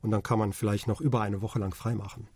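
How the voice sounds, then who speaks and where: Husky man in his forties, calm. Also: lowish voice, fast talker, no room sound, studio quality. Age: 40s. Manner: calm, explanatory, many hesitations. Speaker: podcast co-host. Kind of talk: casual podcast chat.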